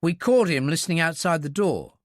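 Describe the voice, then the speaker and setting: A man's voice: a little high, expressive, a little fast, dry recording, voice pushed up, close to an everyday voice. A man voicing a weary, steely army captain in an audiobook, with a curt, dry, firm delivery. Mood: curt, patient.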